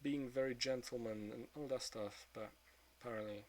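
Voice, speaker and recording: A man of twenty-two speaking in a frank, subdued confessional monologue, swearing often and trailing off into quieter mutters. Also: medium pitch, fairly even intonation, normal speed, poor audio. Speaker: vlogger.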